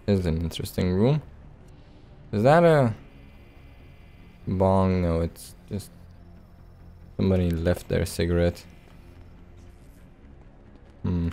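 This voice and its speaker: Slow-talking man with a low, mellow voice. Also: creaky. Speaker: let's play host. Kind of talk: casual gameplay commentary.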